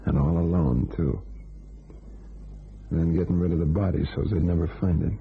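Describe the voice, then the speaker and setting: An actor playing Rusty Connors's type, a tough, scheming ex-convict with terse slangy talk, in a crime radio play. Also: male voice, deep voice, fairly even intonation, normal speed, gravelly, slight background noise. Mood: confiding. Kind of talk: old-time crime radio play acting. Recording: tinny band-limited old radio, music behind.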